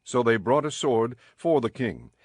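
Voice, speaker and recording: A man narrating an audio Bible in a measured, formal storytelling style. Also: medium pitch, expressive, husky, no room sound, clean studio sound.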